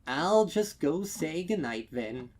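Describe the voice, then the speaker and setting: Man as a prim, higher-voiced motherly mare. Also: big pitch swings, slow pace, darkish tone, heavily acted. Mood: polite unease. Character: prim, motherly adult female pony shopkeeper.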